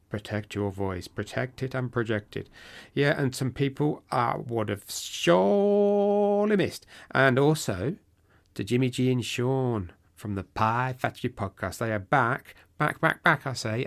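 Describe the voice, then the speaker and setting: A man's voice: mid-range voice, lively intonation, unhurried, gravelly. A British man co-hosting a podcast, talking casually and rambling with lots of restarts, his voice a little worn from talking. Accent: British English. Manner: chatty, rambling with frequent restarts. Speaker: podcast co-host. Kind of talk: casual chat.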